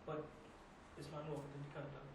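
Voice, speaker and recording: High-voiced, shaken Trinidadian cashier, acted by a man. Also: moderate pace, lightly acted. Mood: uneasy, hushed. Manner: colloquial, repetitive, emphatic. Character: shaken young restaurant cashier recounting a trauma. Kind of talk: dramatic storytelling monologue, amateur stage acting. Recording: echoey room, distant mic, faint noise.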